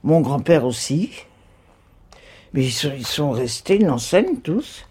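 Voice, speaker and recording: Lively, matter-of-fact old woman. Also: low-pitched, slow pace, husky. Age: 80s. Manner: plain, matter-of-fact, emphatic. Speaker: interviewee.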